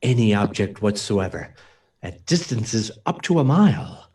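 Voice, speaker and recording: A man's voice: on the low side, very animated, unhurried, a little mellow. Man playing an emphatic, swooping radio-play scientist. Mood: proud explaining. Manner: theatrical, emphatic, formal. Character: earnest, principled male scientist-inventor, proud and secretive. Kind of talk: old-time radio drama acting.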